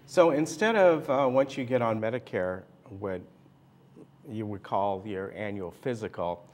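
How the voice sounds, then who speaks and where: A man's voice: on the low side, measured pace, a little mellow, a little hiss or hum. A man hosting an interview show, asking rambling, chatty questions. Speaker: talk show host. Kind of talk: interview host.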